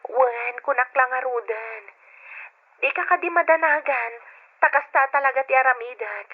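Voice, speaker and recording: A woman's voice: high-pitched, normal speed. An actress playing an earnest, reassuring young woman in an Ilocano radio drama, with a Filipino accent. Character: young woman, dutiful daughter, soothing her boyfriend. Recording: narrow-band old radio drama sound.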